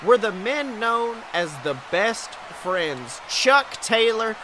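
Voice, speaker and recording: A man playing a folksy, hyped wrestling announcer with a drawn-out showman delivery. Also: high voice, sing-song, noisy background, heavily acted. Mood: chummy. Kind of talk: wrestling show commentary.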